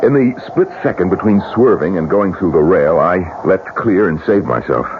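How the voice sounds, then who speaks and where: Shaken widower in old radio play, super low gravelly voice, snippy and bitter, tinny noisy recording. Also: male voice, animated, moderate pace. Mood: testy, grieving. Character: shaken, testy widowed husband giving his account. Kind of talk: 1970s radio drama acting.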